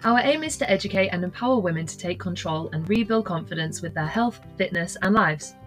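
A woman's voice: on the low side, lively intonation, a little fast, fairly bright tone, faint music behind. A woman co-hosting a casual podcast, who tells stories with lots of laughter and the odd swear word. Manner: laughing, swearing, chatty storyteller. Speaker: podcast co-host.

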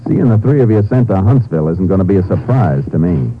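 A man's voice: deep voice, very animated, fast talker, background noise. A man with an American accent playing a tough, terse, dry-witted Texas Ranger in a 1950s radio drama. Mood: defiant, steely. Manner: terse, steely. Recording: narrow-band old radio broadcast, music behind.

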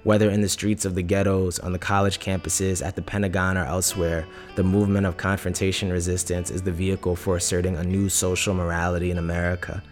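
A man reading a political essay from a script in a measured, formal delivery. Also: sits low, level tone, faint music behind.

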